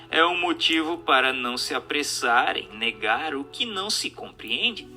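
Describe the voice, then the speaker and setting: A man's voice: on the high side, sharp tone. A Brazilian man reading a book aloud as a formal, emphatic, declamatory scripted narration. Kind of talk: scripted dramatized audiobook narration. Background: music under the voice.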